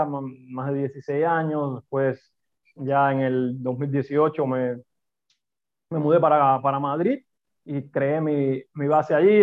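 A man from Cuba with a Cuban accent, a guest in a conversational interview, speaking animatedly in repeated short phrases. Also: on the high side. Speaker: podcast guest, entrepreneur. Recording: tinny phone-like line.

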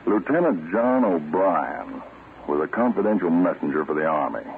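An actor playing a stern, self-assured American government agent in a 1940s radio drama, speaking with deliberate authority. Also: male voice, deep voice, animated. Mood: grave, explaining. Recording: muffled, tinny, noisy old radio recording.

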